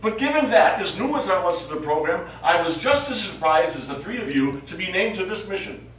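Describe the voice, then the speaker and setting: Man acting a high-voiced astronaut, echoey and distant. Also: animated, brisk, a bit bright. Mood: defensive, insistent. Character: quiet, guarded male astronaut explaining himself to his crew. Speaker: stage actor in a short play. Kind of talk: live stage play dialogue. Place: small theater studio, recorded from audience distance. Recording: echoey hall, distant mic, faint noise.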